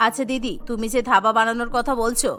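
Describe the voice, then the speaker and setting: Woman as earnest younger village sister-in-law, lower register. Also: steady tone, quick pace, fairly bright tone, soft background music, natural voice. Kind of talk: Bengali cartoon dialogue.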